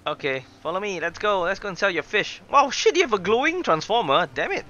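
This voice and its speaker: A young man doing excitable, chatty live game commentary, exclaiming and reading dialogue aloud. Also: high-pitched, brisk, dull audio, a little hiss or hum. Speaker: gaming streamer.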